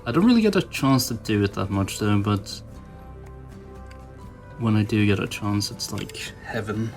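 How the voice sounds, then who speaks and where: A man's voice: fairly low pitch, normal speed. Casual rambling man over background music. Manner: informal, self-deprecating, thinking aloud. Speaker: toy customizer, channel host. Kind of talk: casual rambling hobby commentary while painting.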